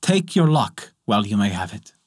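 A man voicing the leaves of a linden tree, sighing out a charm in a slow chant. The tone drops a little lower and sounds dry and close. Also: big pitch swings, no room sound.

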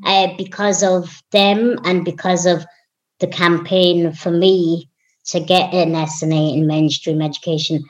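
A young woman from Ireland, a guest in an interview, talking in an unhurried, warm way with frequent ums. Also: fairly low pitch, flat delivery, dull audio. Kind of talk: casual interview conversation.

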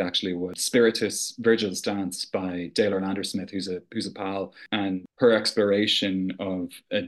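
A man with a fairly low voice talks as a guest on a theater podcast. He is earnest and reflective, and his sentences often restart with "you know.". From rural Ireland. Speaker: artistic director, guest. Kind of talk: conversational podcast interview.

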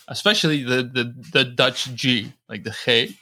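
A man hosts a podcast in a relaxed, chatty way. His voice has a bright, forceful edge, and he sounds enthusiastic. He often repeats a word or two before getting his sentence going. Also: mid-range voice, moderate pace. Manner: enthusiastic, repeats words when starting. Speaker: podcast host. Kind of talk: casual chat.

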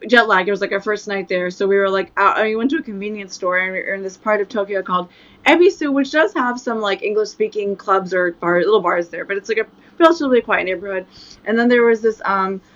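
A woman's voice: mid-range voice, quick pace, a bit bright. A woman whose first language is Japanese, in a loose, rambling chat. She fills her sentences with "like" and "um" and restarts often. The recording sounds a bit dull and lo-fi. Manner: rambling, many fillers and restarts. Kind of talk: casual chat.

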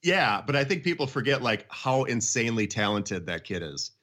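A man's voice: mid-range voice, big pitch swings, moderate pace, bright, forceful tone. A middle-aged man chatting casually about music in an enthusiastic, emphatic way.

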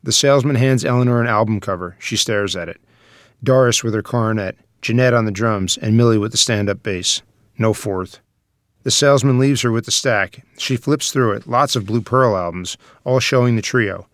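A man's voice: lowish voice, normal speed, no room sound, clean studio sound. A man narrating the stage directions of a screenplay at a table read.

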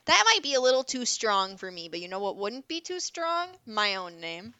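A woman with a Wisconsin accent co-hosting a casual podcast chat, excitable and gushing, laughing easily. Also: medium pitch, moderate pace, smooth, no room sound. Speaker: podcast co-host.

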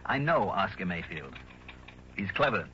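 A man's voice: deep voice, very animated, measured pace, pushed and bright, exaggerated voice. A man playing a wry, confident American insurance investigator with a dry sardonic wit in a 1960s radio drama. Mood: dry, probing. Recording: dull, noisy old radio recording.

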